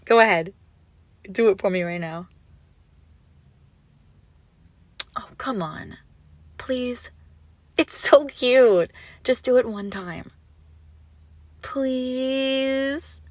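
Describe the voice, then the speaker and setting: A woman playing an obsessive, gushing yandere kidnapper in a roleplay audio drama, menacingly sweet, with breathy, doting coos. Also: on the high side, slow pace, pauses often, no echo. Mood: pleading, playful. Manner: breathy doting coos, often dropping to whispers. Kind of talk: roleplay audio drama, intimate monologue to listener.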